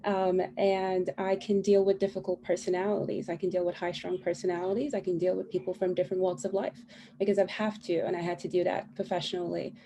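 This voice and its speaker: A woman's voice: lowish voice, level tone, brisk. A woman running for a school council, speaking self-assured and lightly joking on a video call, with her children audible behind her. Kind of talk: candidate forum answer over video call. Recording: video call with faint kid noise. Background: children fighting.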